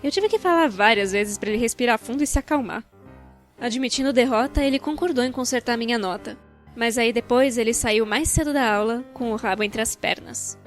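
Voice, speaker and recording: High-voiced Brazilian woman telling a story. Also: brisk. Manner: expressive, wry, colloquial. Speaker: narrator of a fan-dubbed animated storytime video. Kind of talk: scripted storytime narration. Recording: background music.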